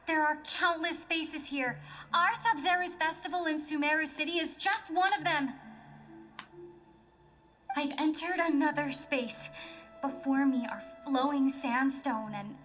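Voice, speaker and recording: A woman's voice: high-pitched, steady tone, a little slow, fairly bright tone, distant mic, faint music behind. A woman playing a solemn, wondering young traveler in an anime game, reporting strange sights.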